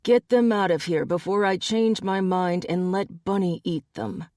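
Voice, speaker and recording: A woman's voice: on the low side, measured pace, dark tone, no echo, close to an everyday voice. A woman voicing a firm, protective male shapeshifter pride leader in an audiobook, speaking curtly and with scorn. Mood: scornful.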